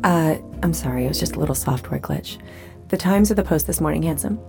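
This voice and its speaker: Creaky, low-voiced woman tech operator. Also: expressive, a little fast, over loud music. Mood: sweet, attentive. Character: woman operator playing a sweet, attentive virtual assistant. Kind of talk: audio drama acting.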